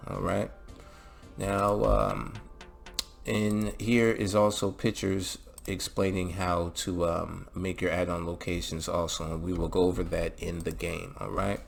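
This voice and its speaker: A man narrating a casual step-by-step software tutorial as the video's host, in an instructional way with frequent check-ins. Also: sits low, vocal fry. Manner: instructional, often checks in with "all right" and "okay". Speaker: video host giving mod installation tutorial.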